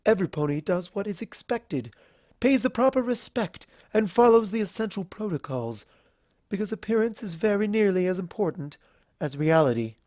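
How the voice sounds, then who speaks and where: Refined, earnest unicorn mare, formal and measured, acted by a narrator. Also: medium pitch, animated, a little mellow, dry recording, clean studio sound, voice pushed up, close to an everyday voice. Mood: solemn, earnest. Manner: formal, measured, deliberate speech. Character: refined, earnest female unicorn pony speaking solemnly. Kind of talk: dramatic fan fiction reading.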